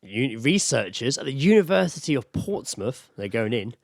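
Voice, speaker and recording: A man's voice: medium pitch, big pitch swings, moderate pace, gravelly, no room sound. A man co-hosting a podcast, informal and blokey as he chats and reads things out. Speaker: podcast co-host. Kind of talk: casual chat, reading out odd news.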